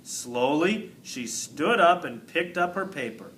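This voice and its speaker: A man reading a children's book aloud in an expressive, emphatic narrating style. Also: on the high side, takes it slowly, slight background noise. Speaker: storybook reader.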